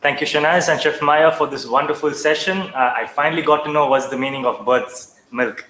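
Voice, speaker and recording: A man's voice: a little high, fairly even intonation, a little fast, a bit bright. A young man presenting in a studio kitchen, speaking eagerly and enthusiastically. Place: large studio kitchen, indoors. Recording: echoey studio kitchen, faint hum.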